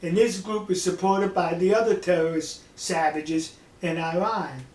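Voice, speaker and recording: Older guy w high voice doing folksy emphatic commentary, pretty echoey room. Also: fairly even intonation, a little slow, a little mellow. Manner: folksy, emphatic, rhetorical questions. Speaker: online political commentator. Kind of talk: casual monologue commentary to viewers.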